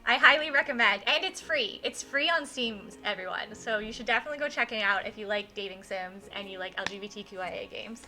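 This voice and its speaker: A woman hosting a live game stream with casual, effusive, excitable chat. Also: mid-range voice, a little fast, hardly any pauses. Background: music.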